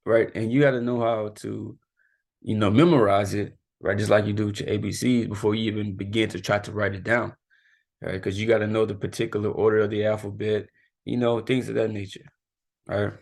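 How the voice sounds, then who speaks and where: A man's voice: on the low side, little pitch movement, fairly quick, vocal fry, a little mellow. A man giving a casual spoken lesson as a youth teacher, talking informally and often tagging "right?" and "you know" onto his sentences. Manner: laughs off his own mistakes.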